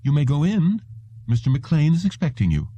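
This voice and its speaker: A man's voice: fairly high pitch, sing-song, normal speed, mellow, husky, dry recording, lo-fi sound, pitched above the performer's own voice. A man voicing a pleasant, polite young female receptionist who articulates neatly and courteously. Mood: pleasant, businesslike.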